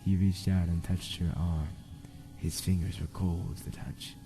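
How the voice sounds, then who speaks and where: Casting: male storyteller for melodrama, deep and mellow, slow deliberate delivery, dramatic scripted narration. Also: level tone, faint music behind.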